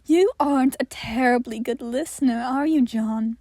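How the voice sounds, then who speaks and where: A woman's voice: a little high, normal speed, dark tone, no room sound, studio quality. A woman voicing a teasing, grinning inhuman woman in an audio story, playful and chiding. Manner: playful, chiding, mock-sweet.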